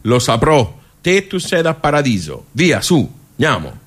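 A man's voice: medium pitch, wildly swooping pitch, a little slow, slightly rough, voice pushed up, full character voice. Guy doing god the father, tuscan accent, bossy and impatient, talks like a village local, radio play. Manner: colloquial, commanding.

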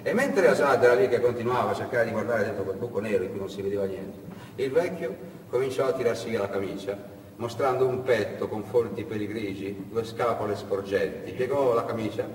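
A man narrating a tale theatrically, with emphatic delivery and dramatic pauses. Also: on the low side, darkish tone. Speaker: actor and storyteller. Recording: echoey hall, distant mic, faint noise.

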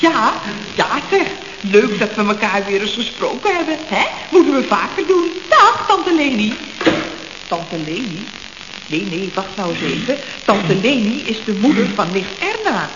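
A woman's voice: mid-range voice, sing-song, measured pace. A woman playing a chatty, scatterbrained secretary in a Dutch radio comedy from around 1970, full of questions. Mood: flustered. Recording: roomy, dull, hissy old radio recording.

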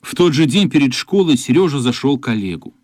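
A man's voice: mid-range voice, lively intonation, fairly quick, darkish tone, no room sound, studio quality, natural voice. An actor as a male storyteller, narrating a children's radio play in a scripted style. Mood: measured narration.

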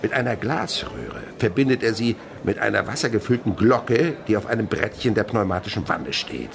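An actor playing an old French naturalist in a radio play, telling his memories vividly and wistfully with a gravelly voice. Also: lowish voice, lively intonation, moderate pace, noisy background. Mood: absorbed storytelling. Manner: vivid dramatic storytelling. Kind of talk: German radio play acting.

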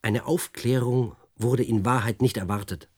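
A man's voice: mid-range voice, steady tone, moderate pace, lightly acted. An actor playing an agitated, suspicious man in a German radio play, speaking with probing, repetitive insistence. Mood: probing, insistent.

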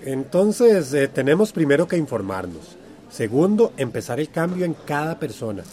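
A man's voice: on the high side, very animated, moderate pace, dark tone. A man with a Central American accent playing an encouraging community workshop teacher in a radio drama, affirming answers and prompting with questions. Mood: affirming, explaining. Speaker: workshop facilitator. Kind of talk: radio drama acting as a lively classroom lesson.

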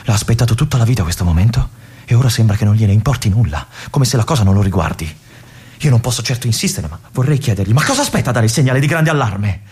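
An actor playing a restless young army lieutenant in a theatrical monologue, with an intense, halting delivery that moves between hushed and urgent. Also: male voice, mid-range voice, expressive, husky. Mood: anxious, urgent. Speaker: stage actor performing a monologue.

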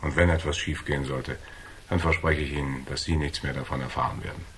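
A very deep-voiced, unflappable hardboiled sleuth. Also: male voice, fairly even intonation, fairly quick, fairly bright tone, a little hiss or hum. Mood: cool, taunting. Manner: dry, laconic, taunting. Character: cool, defiant male hardboiled investigator, tough and unflappable. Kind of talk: German radio crime drama acting.

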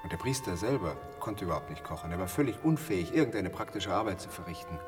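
An actor playing a talkative, self-satisfied traveller in a German radio play, telling macabre stories in a halting, theatrical way. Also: male voice, low voice, expressive. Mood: grimly confiding. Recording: echoey, distant, music behind.

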